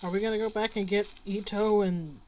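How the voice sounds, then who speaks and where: A woman's voice: mid-range voice, steady tone, a little fast, background noise. A woman streaming a game, chatting casually and reacting with playful, wry remarks. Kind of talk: casual chat reacting to game, reading text aloud.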